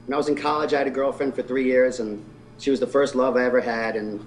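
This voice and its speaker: A man in his forties at a middle pitch tells his story openly, laughing at himself now and then. A faint noise sits behind his voice. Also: flat delivery, fast talker, low-quality recording. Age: 40s. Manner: earnest and candid, with self-deprecating humor. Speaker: physique competitor guest. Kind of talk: telling his life story in an interview.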